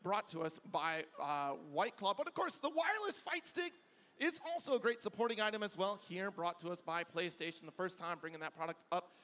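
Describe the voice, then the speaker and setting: A man's voice: high-pitched, expressive, brisk, a bit bright. A man hosting live esports commentary in an enthusiastic, effusive way. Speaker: esports commentator and desk host. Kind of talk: live broadcast commentary banter. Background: faint music.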